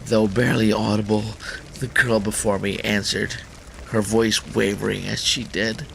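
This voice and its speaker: A man's voice: fairly low pitch, flat delivery, moderate pace, vocal fry, fairly bright tone, poor audio. A man hosting a gaming channel, reading a visual novel aloud as dramatic narration, with faint noise in the recording.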